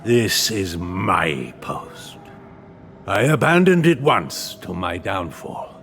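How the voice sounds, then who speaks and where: An actor playing a weary, brooding ghostly guardian in a fantasy game, halting and theatrical. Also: male voice, sits low, sing-song, gravelly, a bit bright, faint music behind, heavily acted. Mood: grim warning. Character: weary, mournful male ghost guardian bound to his post.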